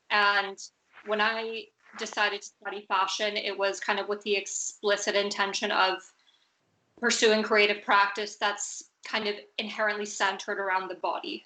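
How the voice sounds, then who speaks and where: A woman's voice: medium pitch, pushed and bright, roomy sound, poor audio. A young woman in her twenties, a fashion designer from the United States, speaking thoughtfully with many fillers and restarts. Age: 20s. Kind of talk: recorded video discussion.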